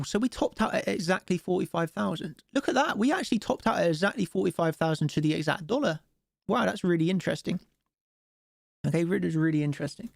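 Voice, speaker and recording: A man's voice: sits high, gravelly, dry recording, studio quality. A man with a cold and a stuffy nose, chatting casually while hosting a live trading stream and asking viewers for likes. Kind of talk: live-stream chart commentary.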